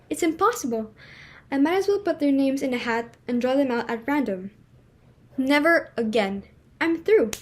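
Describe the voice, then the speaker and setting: A woman's voice: sits high, darkish tone. A woman playing a flustered, imperious society hostess and mother in a dramatic reading of a stage comedy, emphatic and exclamatory. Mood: exasperated, despairing.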